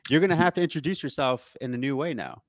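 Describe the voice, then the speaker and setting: A man's voice: medium pitch, expressive, fast, no room sound, clean studio sound. A man co-hosting a podcast, chatting casually about sports in a slangy, mildly sweary way. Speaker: podcast co-host.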